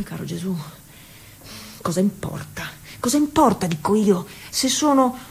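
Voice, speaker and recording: An actress playing a plucky, stubborn young Italian bicycle racer in a colloquial, exasperated theatrical monologue. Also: female voice, a little high, slightly rough, heavily acted. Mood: defiant. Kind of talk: theatrical monologue, one side of a conversation.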